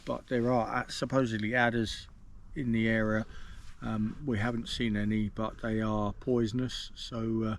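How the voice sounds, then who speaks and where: Man, gravelly voice, English accent, rambling review chat, outdoors in woods. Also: fairly low pitch, level tone, slight background noise. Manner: measured, explains at length with many restarts. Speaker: vlogger host.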